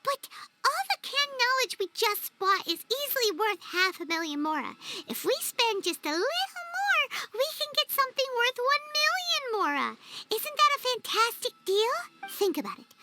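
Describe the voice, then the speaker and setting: A woman voicing a small, excitable, childlike fairy companion in a video game, chatty and naive, with a squeaky voice. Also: normal speed. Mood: excited, persuading. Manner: refers to self in third person, exclaiming, wheedling. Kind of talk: video game cutscene acting. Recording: music under the voice.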